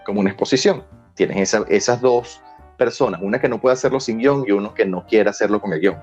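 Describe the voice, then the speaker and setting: Low male voice, rapid pace, Venezuelan accent, explanatory interview talk, dull lo-fi radio sound, music behind. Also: darkish tone. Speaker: interview guest, screenwriter. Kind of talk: conversational, explanatory interview answers.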